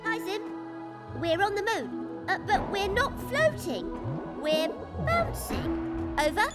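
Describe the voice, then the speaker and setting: A woman's voice: very high-pitched, big pitch swings, slow, loud music behind the voice, voice pushed up, exaggerated voice. A woman voicing a curious, practical young girl in a children's storybook. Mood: puzzled.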